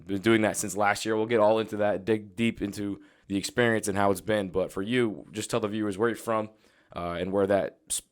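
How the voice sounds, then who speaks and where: Fast-talking young male podcast host. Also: low-pitched. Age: 20s. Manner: loose and chatty, many "like" fillers. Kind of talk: casual interview chat.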